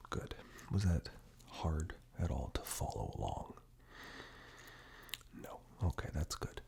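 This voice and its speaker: A man doing soft-spoken, half-whispered ASMR roleplay, reassuring and unhurried, speaking directly to the listener close to a binaural microphone. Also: animated. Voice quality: breathy whisper mixed with very deep, gravelly murmur. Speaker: ASMR performer playing caregiver and eye examiner roles.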